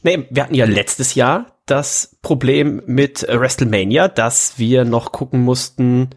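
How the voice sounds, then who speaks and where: Ein Mann mit norddeutschem Akzent, der als Gastgeber locker und gesprächig plaudert, mit lebhaften Tonsprüngen. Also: male voice, a little slow, breathy edge. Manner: chatty, with lively pitch jumps. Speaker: podcast host. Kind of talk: casual chat.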